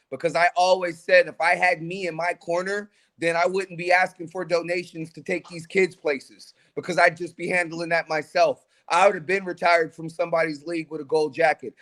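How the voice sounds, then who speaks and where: A man's voice: on the high side, fairly bright tone. A man in his forties, a track coach, talking in a casual podcast conversation in an emphatic, motivational way. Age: 40s.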